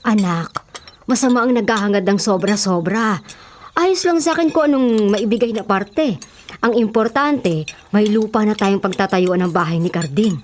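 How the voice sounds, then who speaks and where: An actress playing a kindly, forgiving middle-aged mother with a Filipino accent in a radio drama, gentle and placating. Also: medium pitch, normal speed, slight background noise, natural voice. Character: kindly, forgiving, self-sacrificing mother of grown sons. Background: faint music, clinking dishes.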